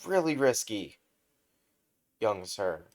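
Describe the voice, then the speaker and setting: A man voicing a big, heroic male superhero who speaks in a loud, formal, declaiming way in a fan-told story. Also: fairly low pitch, very animated, slow, exaggerated voice. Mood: heroic, booming. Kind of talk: fan retelling of a hero story.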